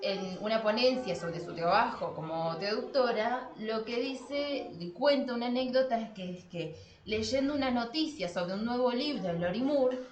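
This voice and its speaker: An expressive, emphatic woman with an Argentine accent, talking casually as a podcast host. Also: on the high side, normal speed, dull audio. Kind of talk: casual podcast discussion about translation. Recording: echoey room with faint noise.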